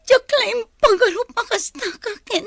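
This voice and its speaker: A woman's voice: very high voice, normal speed, creaky, a bit bright, no echo, studio quality, heavily acted. A woman playing an emotional young student daughter in an Ilocano audio drama. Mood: angry, hurt. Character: young female student, tearful, ashamed pregnant daughter.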